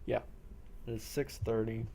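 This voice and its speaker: A man's voice: on the low side, little pitch movement, slow pace, vocal fry, dark tone. A man running a role-play game as game master, describing scenes off the cuff in a matter-of-fact way. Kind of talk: improvised tabletop-style game narration. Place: online group game session.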